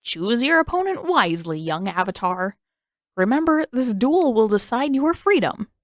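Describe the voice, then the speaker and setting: A woman voicing a sly, scheming old king who taunts slowly in a fan fiction audiobook reading. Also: a little high, sing-song, no room sound, clean studio sound, voice pushed up. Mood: mocking.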